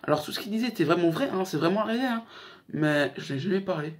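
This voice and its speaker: Higher-pitched young man, slangy casual chat. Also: animated, fast. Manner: slangy, joking, rambling. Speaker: YouTube host. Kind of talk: casual chat to camera, countdown list.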